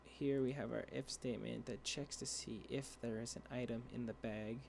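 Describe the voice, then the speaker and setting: Halting young man with a gravelly, dry voice. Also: medium pitch, steady tone, darkish tone, a little hiss or hum. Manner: rambling, halting with many fillers and restarts. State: dry voice, clearing throat. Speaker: tutorial creator. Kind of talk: screen-share coding tutorial.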